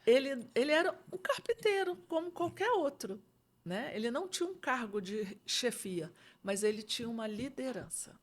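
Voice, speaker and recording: A woman's voice: a little high, sing-song, moderate pace. A middle-aged Brazilian woman with a Brazilian Portuguese accent, speaking as a guest expert and explaining leadership ideas in a reflective, conversational way. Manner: reflective, uses frequent "né?" and "entendeu?".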